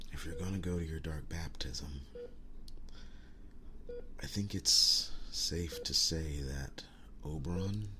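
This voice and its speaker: A man playing a protective, world-weary young werewolf boyfriend in an intimate ASMR roleplay, speaking in a breathy, halting hush. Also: noisy background. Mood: determined, grave. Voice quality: breathy, hushed, very low voice. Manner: halting, slow, soft-spoken with long pauses.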